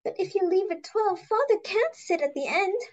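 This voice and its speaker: A woman's voice: very high voice, lively intonation, moderate pace, lo-fi sound, noisy background. A woman playing a sweet, naive young society daughter in an amateur dramatic reading of a stage comedy. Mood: earnest.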